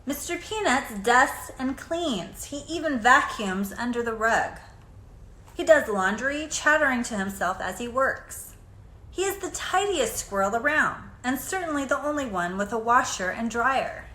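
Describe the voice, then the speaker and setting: A woman reading a children's picture book aloud in an expressive, deliberate storytelling manner. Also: on the high side. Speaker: storybook reader. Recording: echoey room, faint background noise.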